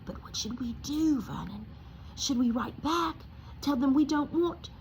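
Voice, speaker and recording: A woman's voice: high voice, unhurried, a little hiss or hum, voice pushed up. A woman voicing an anxious, fretful middle-aged aunt with a quivering voice in a storybook reading. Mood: quivering, fearful.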